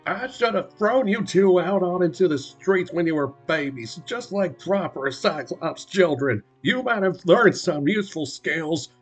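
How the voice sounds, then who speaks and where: A man voicing a huge, bossy mother Cyclops who growls and scolds loudly and slowly. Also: sits high, animated, breathy edge, soft background music, pitched above the performer's own voice, exaggerated voice. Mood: scolding. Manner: growling, loud, slow and scolding.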